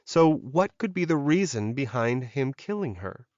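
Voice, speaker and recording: A man reads dramatic fiction as an audiobook narrator. His pitch is in the middle range. The recording is close and dry, with a slightly dull tone. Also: very animated, a little slow, a little mellow, no room sound.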